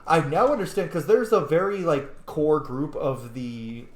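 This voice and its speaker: A man's voice: a little high, animated, measured pace. A man giving informal, sweary let's-play commentary, thinking aloud as he plays. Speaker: video game player and commentator. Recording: echoey room.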